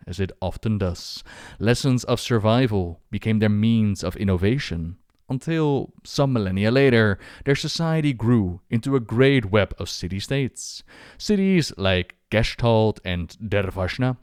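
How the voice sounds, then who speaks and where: A man's voice: fairly low pitch, a little mellow, no room sound, clean studio sound. A man hosting and narrating video game lore as scripted storytelling, with dramatic phrasing.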